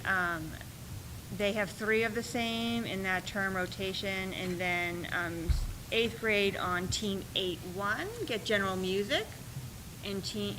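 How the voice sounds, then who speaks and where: A soft-spoken woman, a school principal, explaining schedules and budget requests at a meeting, with frequent pauses and ums. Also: mid-range voice, noisy background. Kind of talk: presenting at a school board meeting.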